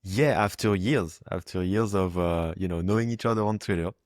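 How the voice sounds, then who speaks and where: A man's voice: deep voice, normal speed, a little mellow, dry recording, clean studio sound. A man hosting a podcast, chatting casually and rambling with fillers. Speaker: podcast host. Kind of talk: casual interview chat.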